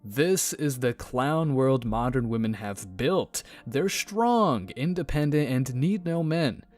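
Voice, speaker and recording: Man, scripted voice-over commentary, measured, sardonic, mid-pitched voice. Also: lively intonation, darkish tone, faint music behind. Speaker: channel narrator.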